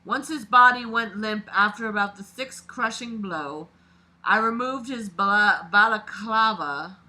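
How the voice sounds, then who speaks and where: An expressive, exclamatory reader doing a dramatic read-aloud of a novel, breaking off into mocking commentary and swearing. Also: medium pitch, measured pace, low-quality recording.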